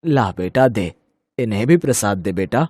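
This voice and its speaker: A man voicing a gentle, trusting elderly village father in a story, soft-spoken and paternal. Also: on the low side, expressive, moderate pace, no room sound, clean studio sound. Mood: pleased.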